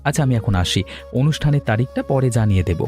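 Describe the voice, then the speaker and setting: Cartoon customer man acting: mid-pitched, mellow, friendly, earnest and appreciative, light music behind. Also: lively intonation, fast talker, close to an everyday voice. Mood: admiring, trusting. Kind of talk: cartoon moral story voice acting. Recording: music under the voice.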